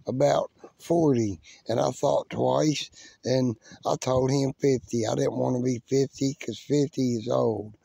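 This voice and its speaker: An American man in his forties with a gravelly voice, preaching informally to camera in a folksy, unhurried way, outdoors with a phone held close. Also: medium pitch, dark tone, dry recording. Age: 40s. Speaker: lay preacher vlogger. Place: outdoors by a river. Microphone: phone held close to the face.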